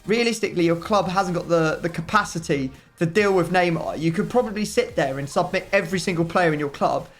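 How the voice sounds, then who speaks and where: Hoarse man, excitable gaming host. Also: sits high, fairly quick, faint music behind. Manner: excitable, groaning, laughing in disbelief. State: hoarse, voice strained. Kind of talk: casual gaming commentary.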